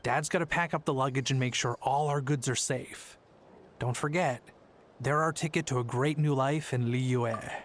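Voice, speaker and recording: An actor playing a nervous, gentle merchant father in a video game, hesitant and placating. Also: medium pitch, steady tone, moderate pace, lightly acted. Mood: reassuring.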